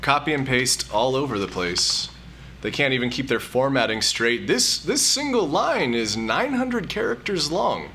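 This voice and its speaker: A man's voice: mid-range voice, very animated, unhurried, sharp tone, slight background noise, exaggerated voice. A man playing a sheepish, nerdy hacker in an audio drama, hesitant and self-justifying. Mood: nerdy disbelief. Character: sheepish, nerdy male hacker, brooding digital security veteran.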